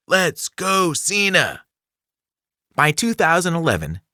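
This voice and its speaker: A man reading a children's nonfiction book aloud as scripted narration, enunciating clearly with a dramatic storytelling delivery. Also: mid-range voice, bright, forceful tone, no room sound, studio quality. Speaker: audiobook reader.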